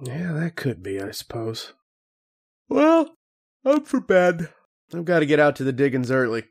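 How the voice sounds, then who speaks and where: An actor playing an earnest, haunted archaeologist telling his uncanny tale in old-time radio horror style, with dramatic, deliberate pauses. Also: fairly high pitch, big pitch swings. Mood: dismissive, puzzled. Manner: dramatic first-person storytelling with deliberate pauses.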